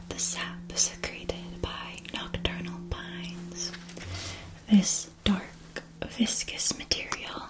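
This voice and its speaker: A woman performing a scripted ASMR fantasy roleplay as a wand seller, speaking softly and deliberately with formal shopkeeper patter. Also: on the high side, poor audio.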